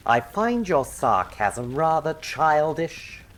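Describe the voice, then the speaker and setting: An actor playing an arrogant, blackmailing British army colonel in a radio drama, brusque, contemptuous and threatening. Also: male voice, fairly high pitch, sing-song, slow, a bit bright, heavily acted. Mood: brusque, scornful.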